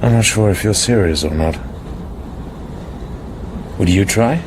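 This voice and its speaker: A man's voice: a little slow, natural voice. A man playing a cool, guarded secret agent in a radio drama, speaking in a terse, controlled way. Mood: cool, probing. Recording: noisy radio drama, train rumble behind.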